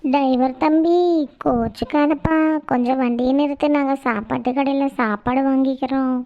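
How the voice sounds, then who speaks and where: A woman's voice: high-pitched, fairly even intonation, normal speed, gravelly. A woman voicing a bossy, chatty cartoon village woman with a colloquial Tamil Nadu accent. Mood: pleading, chatty. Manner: colloquial, scolding, teasing. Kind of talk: cartoon comedy skit dialogue. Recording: muffled, band-limited audio.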